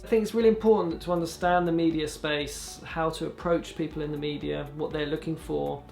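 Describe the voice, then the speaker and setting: A man's voice: fairly high pitch, gravelly, a little mellow. A man, a filmmaker and journalist, explaining energetically into a handheld microphone in a training hall. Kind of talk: workshop talk. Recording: echoey hall.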